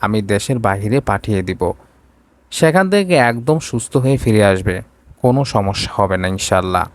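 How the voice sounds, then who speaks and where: A man's voice: lowish voice, animated, moderate pace, dry recording, close to an everyday voice. A man voicing a curt, commanding young rich businessman in an audio romance story. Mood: businesslike.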